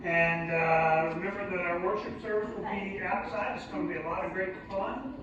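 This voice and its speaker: A man's voice: high-pitched, steady tone, normal speed. A man hosting a children's church show as a submarine captain, speaking directly to the children in an instructive, prompting way. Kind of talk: interactive children's teaching with call and response. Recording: echoey hall from far off, muffled, noisy.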